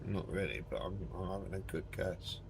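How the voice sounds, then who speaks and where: A man with a gruff, fry-laden voice narrates his own gameplay in a loose, joking way, swearing now and then. He mutters to himself and speaks to his chat. Loud aircraft engine noise roars under his voice. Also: deep voice, fairly even intonation, a little fast. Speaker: game streamer. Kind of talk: casual live commentary while playing a flight simulator.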